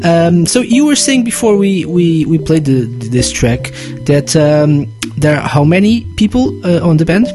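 A man hosting a podcast interview, chatty and quick to laugh. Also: on the high side, big pitch swings, music in the background. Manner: giggly, chatty, lots of fillers. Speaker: podcast host.

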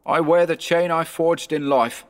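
High, mournful wailing ghost acted out by a man. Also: fairly even intonation, measured pace, long reverb tail, close to an everyday voice. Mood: grave, mournful. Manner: wailing, grave, solemn declamation. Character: tormented male ghost, mournful, remorseful and foreboding.

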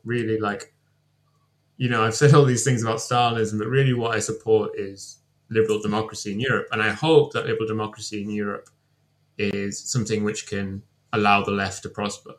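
A male guest writer in casual political discussion, hedging often with "kind of" fillers. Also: fairly low pitch.

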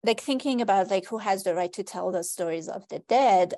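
A woman's voice: mid-range voice, brisk, darkish tone. A woman author whose first language is Persian, talking reflectively in an academic conversation, with frequent "like" and "right?" fillers. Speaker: author guest.

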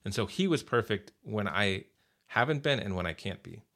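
A man's voice: fairly low pitch, animated, moderate pace, fairly bright tone. A man speaking as a pastor guest on a podcast, earnest, often repeating words as he gathers his thoughts. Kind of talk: casual religious podcast conversation.

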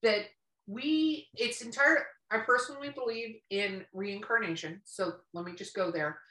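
Woman around fifty, bright voice, opinionated, rambling, casual talk with swearing, echoing room. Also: medium pitch, unhurried. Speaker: podcast co-host. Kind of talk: casual book chat. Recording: roomy, echoing sound.

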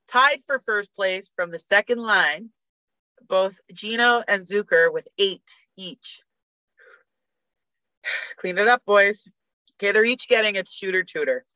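A woman's voice: mid-range voice, slow pace, long pauses, pushed and bright, no echo, dull audio. A woman guest on a sports podcast, chatting casually with blunt opinions and frequent laughter. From Canada.

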